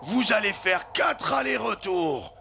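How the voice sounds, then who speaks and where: A man playing a gruff, hearty, bossy dog-sled driver with a gravelly voice in a French cartoon dub, noise behind. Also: high-pitched, big pitch swings, slow pace, heavily acted. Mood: hearty, commanding. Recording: clear background noise.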